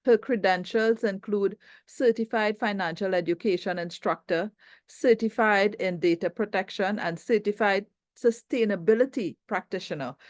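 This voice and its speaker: A woman hosting a governance event, giving a formal, measured introduction of the keynote speaker. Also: medium pitch, darkish tone, no echo. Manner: measured, formal, reading from notes. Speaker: event host and moderator.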